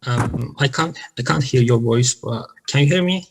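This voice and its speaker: A man in his thirties with a Turkish accent, answering questions on a video call in halting English with many restarts. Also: medium pitch, vocal fry, slight background noise. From Turkey. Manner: halting, with frequent restarts and fillers. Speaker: conference presenter. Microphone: laptop webcam mic on a video call.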